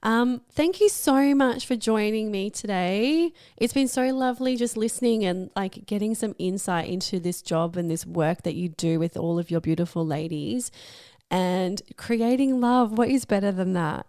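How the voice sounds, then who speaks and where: A woman hosting a chatty podcast interview, effusive and encouraging, with a slightly croaky voice. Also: medium pitch, moderate pace, a little mellow, dry recording, clean studio sound. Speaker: podcast host.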